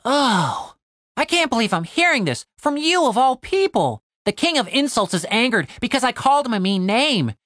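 A sarcastic little halfling man, played by a woman, pitched high and swinging all over. He is crude, whiny and exasperated, always ready to argue in a comic fantasy audiobook, in a very dry recording. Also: big pitch swings, normal speed, fairly bright tone, dry recording. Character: sarcastic exasperated wisecracking male halfling adventurer.

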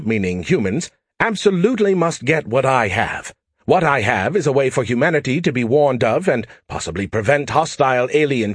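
A boastful, sarcastic alien AI , as a male narrator puts him on, raised high and fast with a lively, bouncing pitch. He mocks everyone he talks to. The voice is slightly husky and dry. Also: dry recording. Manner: brash, mocking, fast and lively. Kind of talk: audiobook character voice.

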